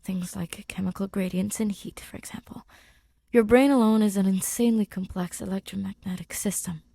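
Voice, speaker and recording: Woman voicing an eager, mellow male scientist. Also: mid-range voice, steady tone, vocal fry, no room sound, clean studio sound. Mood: earnest, thoughtful. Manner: emphatic, thinking aloud in pauses. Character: excited male scientist, animatedly explaining his theory.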